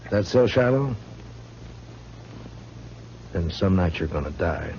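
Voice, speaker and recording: An actor playing a grim, laconic frontier marshal in a 1950s radio western, speaking in a dry, deliberate way on an old recording. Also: male voice, low-pitched, lively intonation, creaky, darkish tone, dull audio, a little hiss or hum, exaggerated voice. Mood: dry, warning.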